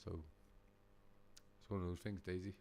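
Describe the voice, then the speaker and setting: Boomy-voiced man streaming live. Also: little pitch movement, normal speed, gravelly, darkish tone, a little hiss or hum. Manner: rambling, profane, laughs often. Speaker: livestreamer reacting to videos. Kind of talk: casual live-stream chat. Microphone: desk mic close to face.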